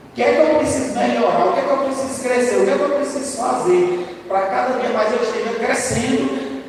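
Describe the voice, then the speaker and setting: A high-voiced man with a hoarse throat preaches to a congregation, loud and chatty, checking in with the room as he goes. He sounds far from the mic, and his voice rings through a big reverberant church hall. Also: a little hiss or hum. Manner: loud, conversational preaching with frequent tag questions. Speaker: lay preacher. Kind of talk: sermon. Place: church sanctuary. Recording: distant, echoey church hall.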